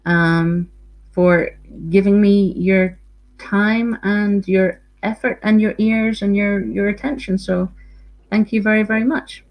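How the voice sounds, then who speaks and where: A woman's voice: mid-range voice, steady tone, slow pace, a little mellow, poor audio. Scottish lady researcher giving a talk online, earnest, lilting, lots of ums. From Scotland. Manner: earnest, lilting, with frequent ums and repeated words. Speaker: university researcher and speaker.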